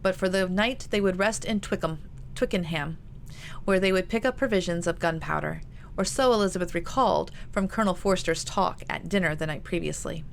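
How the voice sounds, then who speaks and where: A woman's voice: on the low side, a little fast, gravelly. A woman author reading her own historical novel aloud in an audiobook narration style. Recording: noticeable background noise.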